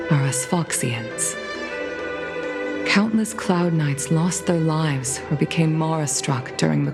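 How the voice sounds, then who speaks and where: An actress playing a dignified fox-like woman commander in a game, speaking in a measured, formal and sorrowful way. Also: low-pitched. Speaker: commander of a fleet commission. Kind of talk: game cutscene voice acting. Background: game soundtrack music.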